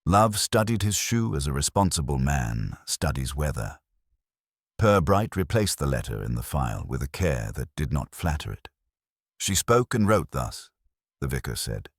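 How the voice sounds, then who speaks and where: A man narrating a literary mystery from a script in a measured, dryly wry manner. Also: rumbling low, animated, breathy edge, no echo, studio quality.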